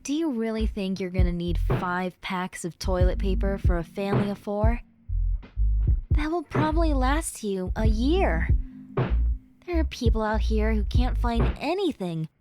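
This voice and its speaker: Prominent music sits behind a woman acting as a young female best friend in an intimate audio roleplay. She vents in an earnest, rambling way, stressed yet caring. Her delivery is very unhurried, at a middling pitch, as if talking directly to one close listener. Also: lively intonation, natural voice. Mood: stressed, frustrated. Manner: conversational, sighing, rambling, earnest.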